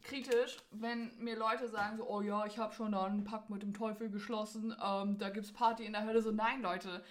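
A young adult woman co-hosting a podcast in casual, expressive and giggly conversation. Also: mid-range voice, normal speed, few pauses, a little hiss or hum. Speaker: podcast co-host.

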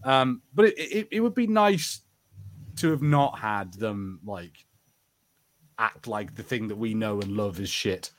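Animated man in casual podcast banter. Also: on the low side, a little slow. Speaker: podcast co-host. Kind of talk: casual chat, pop-culture review.

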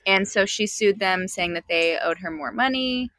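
A young adult woman co-hosting a podcast from outdoors, chatting in a relaxed, easygoing way with plenty of fillers. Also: medium pitch, normal speed, dry recording. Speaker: podcast co-host. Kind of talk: casual chat.

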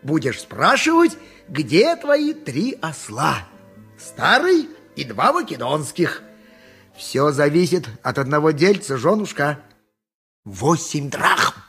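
A boastful donkey driver in a radio drama. The voice is high for a man and leaps about theatrically, from crowing to muttering. It is husky and tipsy, a rough husband wheedling and mocking, with faint music around it. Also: huge pitch swings, slow, a bit bright, exaggerated voice. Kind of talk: radio play acting, 1980s.

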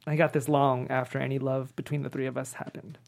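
A man's voice: mid-range voice, little pitch movement, quick pace, gravelly, lightly acted. A man playing a wry, tender dying man. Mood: quiet, resigned. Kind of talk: dramatic table read of a screenplay.

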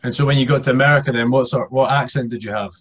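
A man hosting a casual interview, asking questions in a halting way and repeating words as he goes. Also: medium pitch, steady tone. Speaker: podcast host, interviewer.

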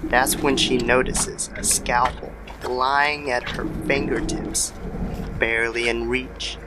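A man's voice: medium pitch, level tone, takes it slowly, noisy background. A man narrating a scripted horror story in the style of old-time radio. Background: background music.

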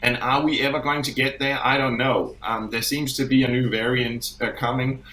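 Bright-voiced man in an echoey room. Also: medium pitch, fairly even intonation, moderate pace. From Denmark. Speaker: hospitality industry expert guest. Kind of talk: conversational interview commentary. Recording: roomy, echoey sound.

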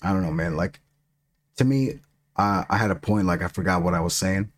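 A man's voice: low voice, a little fast. A middle-aged man who stutters and has a lisp, talking casually and with plenty of swearing as a podcast co-host. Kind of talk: casual chat.

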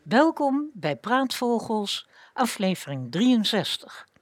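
A woman's voice: on the high side, very animated, slow, faint music behind. A woman hosting a radio programme, reading a scripted introduction as narrator. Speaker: radio show host.

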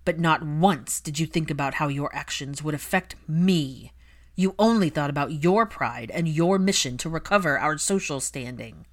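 Resentful highborn young man, performed by a female narrator, lowish register, forceful, biting and deliberate, for dramatic story reading. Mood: accusing. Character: bitter adult aristocratic son, cold, angry, resentful.

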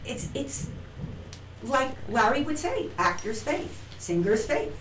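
A middle-aged woman, an actress and acting teacher, telling her story as an interview guest, animated and acting out quoted lines with frequent restarts. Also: a little high, slow pace. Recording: roomy, distant from mic, faint noise.

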